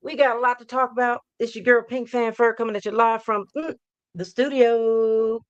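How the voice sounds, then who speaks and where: A hoarse woman with a fairly high voice hosts a live sports fan show. She talks in upbeat, rambling bursts and repeats her hype lines. The sound is dry and close. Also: steady tone, moderate pace, no room sound, muffled recording. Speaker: host.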